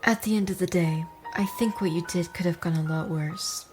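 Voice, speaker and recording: A woman playing a stern, aloof, menacing wanderer in an audio drama, speaking in a formal, measured way. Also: on the low side, a little mellow, faint music behind, close to an everyday voice. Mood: stern, lecturing.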